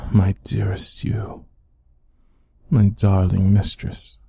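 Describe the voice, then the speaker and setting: Guy doing an obsessed butler for asmr, low dark soft voice, super reverent to his mistress. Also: completely flat delivery, slow pace, vocal fry, slightly rough, full character voice. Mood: reverent sorrow. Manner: formal, reverent, intimate. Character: devoted, obsessive yandere butler, tenderly protective of mistress. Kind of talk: ASMR audio roleplay acting.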